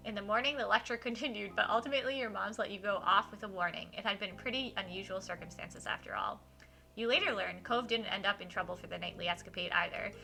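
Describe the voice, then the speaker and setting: A woman's voice: mid-range voice, fast talker, vocal fry, bright, forceful tone. A woman streamer reading a visual novel aloud expressively, with casual asides to her viewers and game music behind her.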